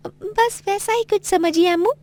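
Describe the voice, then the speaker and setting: A woman's voice: extremely high pitch, slow pace, a little mellow, pitched above the performer's own voice. A woman voicing a perky young Chinese daughter-in-law who runs a food stall in a story cartoon, sing-song and dropping English phrases. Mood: playful, coy. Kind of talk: moral story cartoon voice acting.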